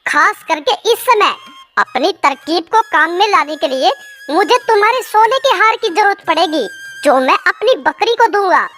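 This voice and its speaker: A cartoon voice actor playing a sly, fawning village swindler who schemes and flatters theatrically, with children's music behind. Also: very high-pitched, moderate pace, full character voice. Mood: scheming. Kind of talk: animated moral story character dialogue.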